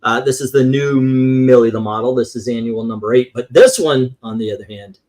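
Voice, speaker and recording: A man with a mid-pitched voice talks casually as a host showing off a collection. He rambles in a loose, conversational way and swears now and then. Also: moderate pace, darkish tone. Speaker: comic book collector and show host. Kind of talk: casual show-and-tell commentary on vintage comics.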